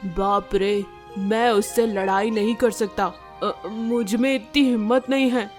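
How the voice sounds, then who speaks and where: Woman voicing a slow, timid male elephant. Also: fairly high pitch, steady tone, a little mellow, faint music behind, lightly acted. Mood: fearful. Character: timid big-brother elephant, huge, reluctant and cowardly. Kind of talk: children's animal story voice acting.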